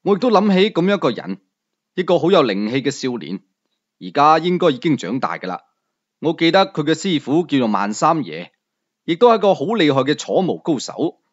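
A man's voice: sing-song, fairly quick, highs cut off. A man narrating a novel in the first person as a storyteller, in an audiobook reading. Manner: first-person storytelling, rising and falling pitch.

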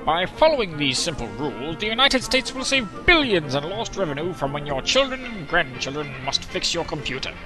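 Pompous alarmist film announcer, played by a man, higher-pitched, emphatic and lively, music underneath. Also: brisk, hardly any pauses, rough recording. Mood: stern, instructive. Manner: pompous, emphatic, mock-serious. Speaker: narrator. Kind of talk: parody of a mid-century educational film narration. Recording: narration over background music.

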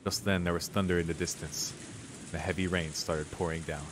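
A man's voice: low voice, steady tone, a little fast. A young man, a let's player reading game narration aloud with casual reactions. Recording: clear rain and hiss behind.

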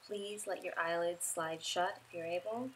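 A woman leading a guided relaxation meditation in a soothing, unhurried way, giving instructions in short, spaced phrases. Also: on the low side, fairly even intonation, fairly bright tone, a little hiss or hum.

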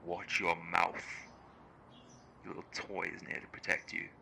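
A man's voice: low voice, level tone, measured pace, vocal fry, pushed and bright, a little hiss or hum, heavily acted. A man playing a cold, curt interrogator who demands answers in an audio drama. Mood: cold, threatening. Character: cold, menacing, no-nonsense male interrogator. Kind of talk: audio roleplay acting.

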